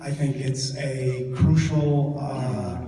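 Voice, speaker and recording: Halting man speaking in an echoey hall. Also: medium pitch, level tone, warm tone, far from the mic, a little hiss or hum. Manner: halting, repeats words while thinking. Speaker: guest speaker. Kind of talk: answering audience questions. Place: church hall.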